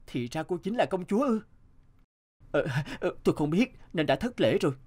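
Brave young rescuer, lively intonation, earnest, hesitant, cartoon folktale dub. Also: male voice, sits high, moderate pace. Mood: startled, apologetic. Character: brave, kind young man rescuing a princess. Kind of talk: animated folktale dubbing.

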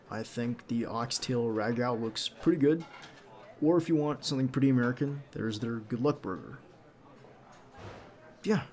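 Creaky-voiced, hesitant bodyguard on a date acted by a man. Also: medium pitch. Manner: soft, hesitant, gently teasing. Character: nervous, devoted young male bodyguard on a date. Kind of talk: ASMR roleplay acting, intimate one-sided dialogue.

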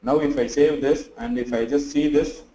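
Tired guy teaching over a call, lots of uh and so, mellow voice, roomy muffled audio. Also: medium pitch, steady tone. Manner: halting, thinks aloud with many fillers. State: sleepy and tired. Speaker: online class instructor. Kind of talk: live screen-share tutorial.

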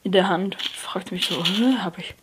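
A young person, likely a teen, giving hesitant gameplay commentary, often correcting themselves and dropping to a near whisper. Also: female voice, lowish voice, moderate pace. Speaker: let's player. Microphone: phone screen recorder mic. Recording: noisy lo-fi phone recording.

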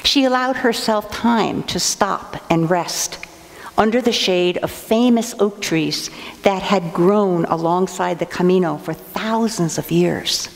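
An older woman delivers a sermon at a slow, unhurried pace, her fairly low voice carrying a gravelly edge. She speaks deliberately from a lectern in a church sanctuary, and the room's long reverb trails after her words. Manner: measured, deliberate preaching at a lectern. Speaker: assistant pastor.

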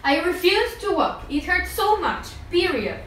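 Teen girl playing a shrill, whining guest chef. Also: big pitch swings, a little slow, a bit bright, heavily acted. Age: teens. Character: spiteful, whining injured female guest chef. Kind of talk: amateur scripted TV show acting. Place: home bedroom. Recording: distant camera mic with room noise.

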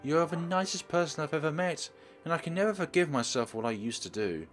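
A grateful former bully, a teenage schoolgirl from a visual novel as a man acts her out. The voice is pushed up high and swings in pitch. The delivery is gushing and apologetic, with music behind. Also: lively intonation, fast, lightly acted. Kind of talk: visual novel character reading.

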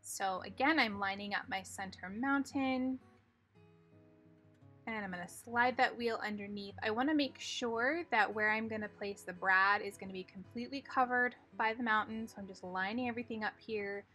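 A woman with a bright, clear voice talks through a card-making project step by step, calm and methodical. Soft music plays faintly under her voice-over. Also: medium pitch, moderate pace. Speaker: craft tutorial host.

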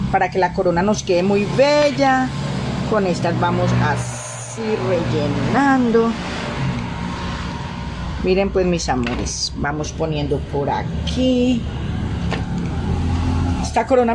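A woman's voice: medium pitch, very noisy. A middle-aged Colombian woman chatting casually through a craft demonstration, affectionate and repetitive, full of endearments and diminutives. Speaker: craft tutorial host.

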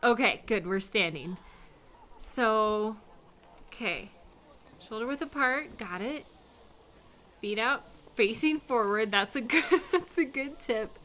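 A woman acting a giggly, high-voiced flirty beginner skater. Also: slow, pauses often. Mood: nervous, giggly. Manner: giggly, hesitant, chatty, with excited exclamations. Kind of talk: intimate audio roleplay, one-sided conversation.

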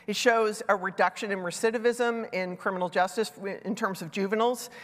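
A woman's voice: medium pitch, fairly even intonation, fairly quick. Middle-aged woman speaking in a reverberant hall. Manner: conversational, self-deprecating asides. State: congested from a sinus infection. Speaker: conference speaker. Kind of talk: conference talk. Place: large dark stage hall.

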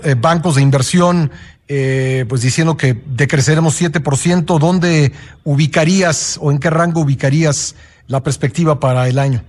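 A man's voice: fairly high pitch, darkish tone. A man hosting a radio interview, asking questions with many hesitant fillers and giving short affirmations. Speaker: radio host.

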